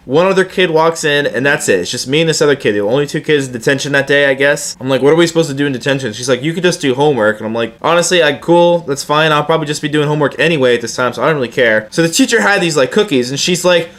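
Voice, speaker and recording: A man's voice: a little high, a bit bright. A young man telling personal anecdotes in a casual, slangy, sometimes profane way, acting out the dialogue as he goes. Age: young adult. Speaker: YouTuber telling personal stories.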